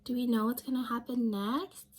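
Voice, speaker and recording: A woman's voice: fairly high pitch, steady tone, normal speed, a little mellow, breathy edge. A young woman reading a storybook aloud to children, speaking simply and expressively and prompting her listeners with questions. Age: young adult.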